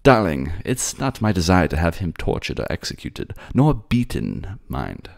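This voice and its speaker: Man playing a suave, teasing fantasy prince, lilting pitch. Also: huge pitch swings, a little slow, vocal fry, full character voice. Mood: playful, doting. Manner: courtly, sardonic, gently mocking, old-fashioned turns of phrase. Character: suave, teasing male prince of sea people. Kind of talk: dramatic audio roleplay.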